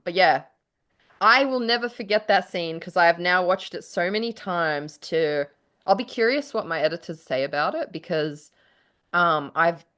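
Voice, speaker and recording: A woman with a slightly low voice talks casually as one writer to another. She tells her stories effusively and with animation, and swears lightly as she goes. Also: a bit bright. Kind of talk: casual chat between writers.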